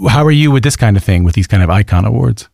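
A man hosting an interview in a friendly, joking conversational way, with quick asides. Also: lowish voice, animated, gravelly, darkish tone, no room sound, studio quality. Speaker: radio host.

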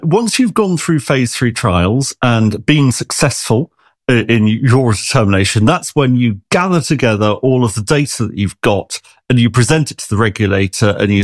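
A man, a science journalist, explaining medical research in a chatty, lively way during an interview. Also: medium pitch, no echo, clean studio sound.

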